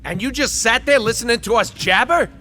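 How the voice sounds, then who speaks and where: A man's voice: extremely high pitch, fairly quick, bright, forceful tone, big-room sound, a little hiss or hum. An actor playing a sarcastic, exasperated space adventurer in a superhero video game, grumbling his complaints. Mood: exasperated sarcasm.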